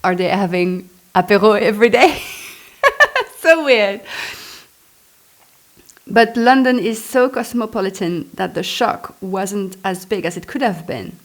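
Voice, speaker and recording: A woman from Belgium, a native French speaker with a Belgian French accent, reads her own memoir aloud in a chatty, expressive way with spontaneous asides. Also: medium pitch, a little slow, long pauses. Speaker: author reading own book.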